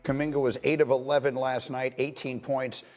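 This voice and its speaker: A man chats through sports stats as an analyst, relaxed and conversational. His voice is of middle pitch. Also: brisk, soft background music. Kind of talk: sports debate chat.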